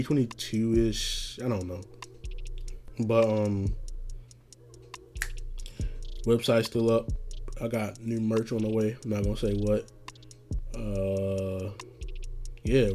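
A young man hosting a casual solo podcast, rambling in a laid-back, slangy way with many fillers, his voice going raspy. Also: lowish voice, warm tone. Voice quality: getting raspy. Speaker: podcast host.

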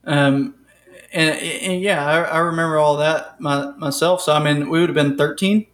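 Thirties male co-host, fairly high pitch, easygoing and hesitant. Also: fairly even intonation, moderate pace, a bit bright. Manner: reminiscing, hesitant fillers. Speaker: podcast co-host. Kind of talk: casual sports chat.

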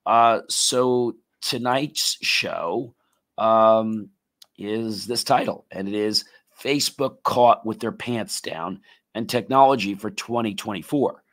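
A man hosting a live tech talk show, his voice a little raspy and tired, rambling with many fillers and restarts. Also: lowish voice, slow pace, fairly bright tone.

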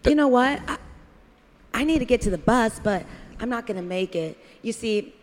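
A woman's voice: fairly high pitch, animated, moderate pace, a little hiss or hum, close to an everyday voice. A woman improvising a flustered, chatty, eager-to-please diner waitress in a comedy parody of a radio play. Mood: smitten, rambling. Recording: reverberant hall sound.

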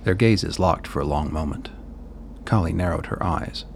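Man, deep voice, creaky fry, measured literary narration, radio play, faint background noise. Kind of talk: scripted dramatic story narration.

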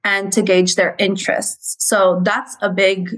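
A woman teacher talks earnestly in an interview, walking through how a reading program works. She grew up in Mexico. Her pitch sits in the middle range. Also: flat delivery, measured pace, a bit bright, rough recording. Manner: earnest, explanatory. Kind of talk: interview conversation.

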